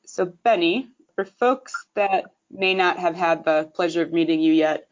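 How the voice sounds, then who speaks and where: A woman hosting a podcast, reading a scripted intro in a clear, measured way and then interviewing a guest. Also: fairly low pitch, lo-fi sound. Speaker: podcast host.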